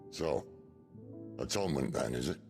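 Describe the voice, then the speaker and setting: A man voicing a worldly, wry middle-aged rebel leader in a video game, gravelly and philosophical, with roguish warmth. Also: a bass voice, animated, normal speed. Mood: measured. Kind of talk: dramatic video game acting. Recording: music under the voice.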